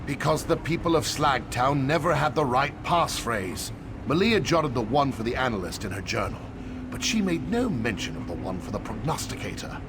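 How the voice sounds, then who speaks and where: Man as an animated, confiding fantasy townsman. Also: mid-range voice, normal speed, background noise, natural voice. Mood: earnest, urgent. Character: earnest male fantasy townsman confiding a secret. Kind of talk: AI-voiced fantasy game dialogue.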